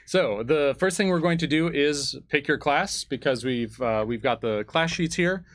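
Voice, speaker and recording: A man's voice: on the high side, lively intonation, normal speed, a bit bright, no room sound. Man co-hosting, dry close sound. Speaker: co-host of a tabletop game livestream. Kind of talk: casual live show chat. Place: indoor studio, live stream.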